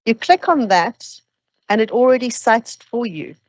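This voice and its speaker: A woman explaining academic procedures to new students at an orientation, steady and practical with some fillers. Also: fairly high pitch, moderate pace, lo-fi sound. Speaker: orientation presenter.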